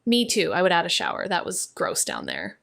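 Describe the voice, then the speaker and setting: A woman playing a measured, brooding amateur sleuth in a noir audio drama, with a dry, understated delivery. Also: on the low side, huge pitch swings, creaky, a bit bright, dry recording, exaggerated voice. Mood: dryly teasing.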